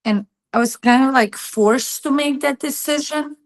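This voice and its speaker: A woman's voice: sits high, a little slow. A woman in her thirties from Bosnia and Herzegovina, a guest telling her story in a chatty interview, full of "like" and "you know". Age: 30s. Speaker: guest, tennis player and coach. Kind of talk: casual interview chat.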